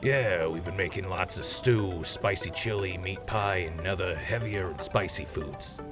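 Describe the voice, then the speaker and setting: A man's voice: deep voice, unhurried, music in the background. A man in an audio drama playing a proud, matter-of-fact army cook sergeant giving an interview. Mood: earnest.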